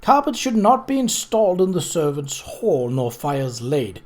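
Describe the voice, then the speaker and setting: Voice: medium pitch, sing-song, a little slow. A narrator voicing a stern, prim woman author of household rules in a formal, bookish manner. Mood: strict, prim.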